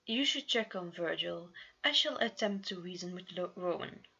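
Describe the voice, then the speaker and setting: A woman voicing a formal, composed and logical man with measured wording in a story reading. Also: fairly low pitch, expressive, vocal fry, pushed and bright, slightly rough, lo-fi sound, heavily acted.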